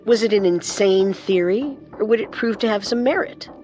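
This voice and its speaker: A woman co-hosting a scripted true crime podcast with dramatic, deliberate delivery. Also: medium pitch, mellow, faint music behind. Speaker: podcast co-host and narrator.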